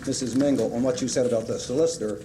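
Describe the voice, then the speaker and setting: A man's voice: mid-range voice, fairly even intonation, rapid-fire. A man at a public meeting asking pointed, pressing questions of officials. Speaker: public commenter. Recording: noisy 1990s public-access broadcast.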